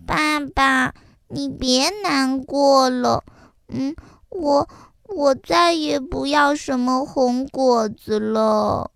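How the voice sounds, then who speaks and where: Lady putting on a tiny egg girl, whiny and pleading, really high and bright, slow, dry close sound. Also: animated, no room sound, heavily acted. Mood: earnest. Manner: pleading, drawn-out childish whining. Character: whiny little egg girl, spoiled but sweet. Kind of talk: children's storybook reading.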